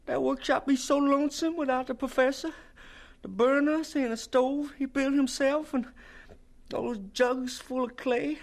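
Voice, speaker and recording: A woman's voice: sits high, steady tone, moderate pace. A woman playing a devoted, plain-spoken young student pleading earnestly in an audio drama. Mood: sorrowful pleading.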